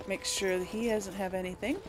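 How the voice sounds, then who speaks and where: A woman's voice: mid-range voice, steady tone, a little fast, soft background music. A woman hosting a let's play, thinking aloud in casual, hesitant gameplay commentary. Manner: musing aloud, hesitant deliberation.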